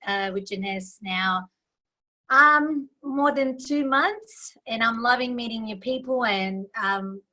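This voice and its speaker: A woman with a bright, fairly high voice introduces herself on a video call. She speaks softly and hesitantly, filling pauses as she goes. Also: low-quality recording. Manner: soft, hesitant with many fillers.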